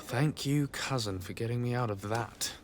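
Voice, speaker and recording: A man's voice: mid-range voice, background noise, lightly acted. Man playing a courteous, formal young nobleman. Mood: polite. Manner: polite, formal, measured. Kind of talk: video game character acting.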